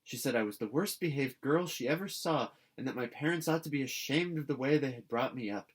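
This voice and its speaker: A man reading a storybook as an excitable, timid young girl, exclamatory and dramatic. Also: medium pitch, brisk, husky, voice pushed up, natural voice. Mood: agitated.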